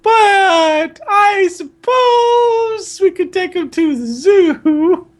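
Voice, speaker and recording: Shrill, drawling, fussy aunt. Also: female voice, lively intonation, heavily acted. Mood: drawling, reluctant. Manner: exaggerated, slow, drawn-out. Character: shrill, fussy, doting middle-aged aunt.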